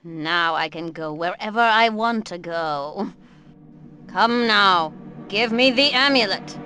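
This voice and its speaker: A slow, scheming vampire servant. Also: female voice, mid-range voice, animated, pushed and bright, slight background noise. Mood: coaxing. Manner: slow, coaxing, then gloating and menacing. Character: scheming, vengeful female servant of Dracula. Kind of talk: game cutscene voice acting. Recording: game audio with clear music score.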